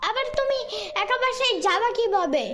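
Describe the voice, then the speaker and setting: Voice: extremely high pitch, lively intonation, normal speed. An actor voicing a bossy, stubborn little child in a cartoon, whiny and demanding, slipping English words into the talk. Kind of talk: Bengali cartoon dialogue. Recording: loud music behind the voice.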